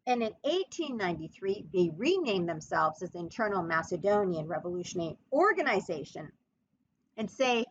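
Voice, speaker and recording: A woman giving a history podcast lecture in an emphatic, expressive storytelling manner. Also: mid-range voice, moderate pace, breathy edge. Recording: muffled lo-fi recording.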